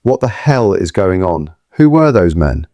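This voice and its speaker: A man's voice: deep voice, big pitch swings, measured pace, warm tone, dry recording, clean studio sound, heavily acted. An actor playing an ordinary suburban man, shaken and concerned, asking disbelieving questions in an audio drama. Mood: alarmed.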